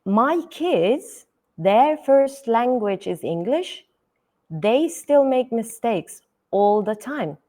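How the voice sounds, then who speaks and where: A woman's voice: fairly high pitch, expressive, slow pace, warm tone. A middle-aged woman from Turkey, a native Turkish speaker, speaking as a guest in a podcast interview, thoughtful, with many fillers. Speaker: guest educator.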